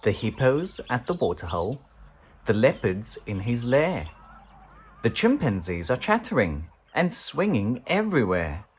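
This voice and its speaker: A man reading a children's picture book aloud in an animated, theatrical way, drawing out key words. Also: mid-range voice, slightly rough.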